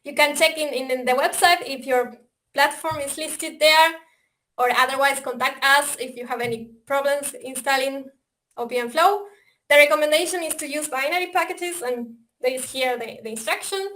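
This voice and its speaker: A woman's voice: high voice, normal speed, a bit bright. A woman with an Argentinian accent gives a webinar presentation, speaking hesitantly with many fillers and restarts. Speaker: software developer presenting. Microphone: video call.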